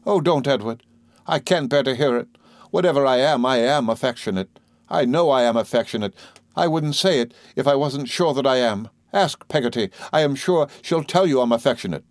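Man voicing a timid, tearful young wife, raised pitch. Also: normal speed, a little mellow, no room sound, natural voice. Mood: piteous pleading. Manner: faltering, pleading, repeating herself.

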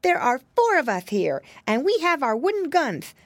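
A woman voicing an excitable little piggy boy who takes charge and lays out plans in a high, childlike voice. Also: normal speed, dry recording. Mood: eager.

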